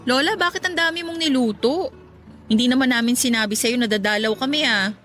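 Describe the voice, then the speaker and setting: A high-voiced younger Filipino married woman, fond and lightly teasing. She reassures gently in radio drama style, with faint music behind her. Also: a little fast. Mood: light, curious.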